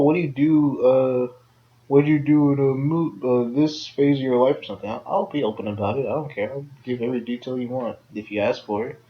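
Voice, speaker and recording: A man's voice: medium pitch, a little hiss or hum. A young man co-hosting a casual chat between friends, a bit nasal, rambling with lots of fillers and repeated words. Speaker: podcast co-host. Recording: dull lo-fi home recording.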